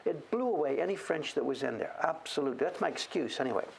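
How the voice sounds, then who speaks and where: A man's voice: on the high side, lively intonation, fast talker, gravelly, a little hiss or hum. A middle-aged man hosting a show-and-tell, chatting casually in a rambling, self-deprecating way. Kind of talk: casual livestream chat.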